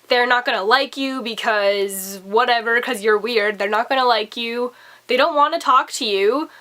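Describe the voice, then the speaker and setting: A young woman talking casually to camera, her voice still hoarse and recovering after being sick, rambling and confessional with lots of fillers. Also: fairly high pitch, pushed and bright. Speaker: vlogger.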